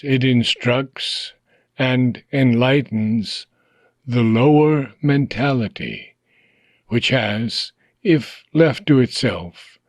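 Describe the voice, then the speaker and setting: Man, audiobook reader of philosophy; very slow, deliberate pacing, mid-range pitch, dry close sound. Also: a little mellow, no echo. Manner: slow phrase-by-phrase delivery, trailing off at phrase ends. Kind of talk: slow measured audiobook reading.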